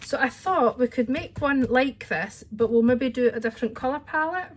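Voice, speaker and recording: A woman's voice: high voice, moderate pace, slight background noise. A Scottish woman chatting casually through a card-making tutorial at her craft desk, with a friendly, rambling manner. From Scotland. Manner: chatty, friendly, says "wee" often.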